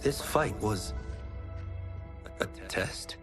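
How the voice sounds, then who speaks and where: Game role: modest, sincere young male warrior, slow and varied delivery, heavy score behind. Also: fairly low pitch, expressive, music in the background. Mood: earnest, unsure. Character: earnest, humble young male village fighter. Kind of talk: video game cutscene acting.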